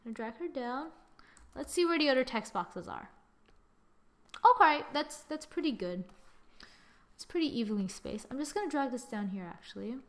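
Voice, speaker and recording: A young woman with a slightly high voice talks through a design tutorial at her computer. She narrates every click and step in a chatty, casual way, speaking into a desktop mic. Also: expressive, moderate pace, pauses often. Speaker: tutorial host. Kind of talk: screen-recorded software tutorial.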